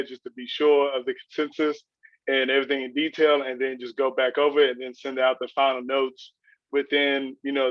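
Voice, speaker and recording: A man's voice: mid-range voice, no room sound. A young man, a student, chatting casually and explaining in an informal, repetitive way. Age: young adult. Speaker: student writer's assistant. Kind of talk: casual chat. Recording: dull, dry lo-fi microphone.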